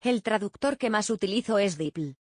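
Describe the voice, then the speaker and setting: A woman's voice: mid-range voice, expressive, moderate pace, a little mellow, dry recording. Woman reading steadily, dry close sound. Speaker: reader of survey answers. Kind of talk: scripted reading.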